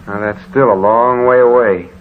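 A man's voice: mid-range voice, fairly even intonation, normal speed, lightly acted. An actor playing an affable, obliging man with an easygoing, deferential manner, in 1940s radio drama style. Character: affable, obliging male travel companion. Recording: tinny, hissy old radio recording.

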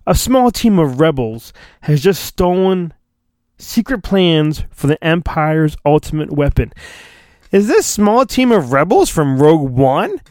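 Slow, theatrical man reading with lively swings. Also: a little high, no room sound, studio quality. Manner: theatrical, self-mocking, often breaks off to mutter. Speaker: podcast host and reader. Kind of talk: mock-dramatic storybook reading with joking asides.

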